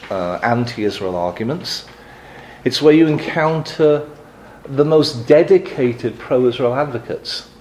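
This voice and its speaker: A man's voice: medium pitch, darkish tone, slight background noise, rough recording. A middle-aged man from England giving a live lecture with dry, wry humor and frequent ums and restarts. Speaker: guest speaker on advocacy.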